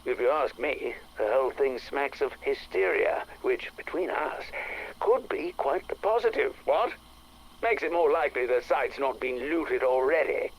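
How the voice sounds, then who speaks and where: An actor playing a jaunty, pompous gentleman adventurer with an upper-class English accent, eager and boastful, in a game audio log. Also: mid-range voice, sing-song, a little slow, creaky, exaggerated voice, poor audio. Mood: jovial, eager.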